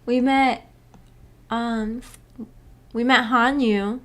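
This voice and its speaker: A woman's voice: fairly high pitch, fairly even intonation, drawn-out words, a bit bright. A woman hosting a casual book club livestream, chatting with viewers in a hesitant, giggly way and repeating words. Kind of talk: casual livestream chat, reading and answering chat.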